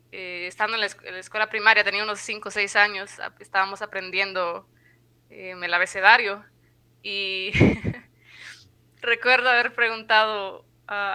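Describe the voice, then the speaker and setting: A woman in her mid-twenties from Guatemala, speaking as a guest on a podcast. Her voice is very bright and crisply clear, and she talks hesitantly, piling up fillers and restarts as she thinks aloud. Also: medium pitch. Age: 20s. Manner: hesitant, many fillers, laughs lightly. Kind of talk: conversational interview.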